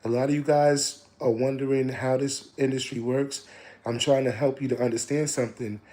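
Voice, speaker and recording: A man coaching on digital marketing in a casual, preachy live stream. He, is slightly hoarse, repeats his lines and calls listeners family. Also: mid-range voice, fairly even intonation, moderate pace, dark tone.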